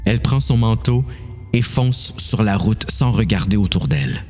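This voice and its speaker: A man's voice: fairly low pitch, darkish tone. A man with a Quebec French accent narrating a dramatised horror story.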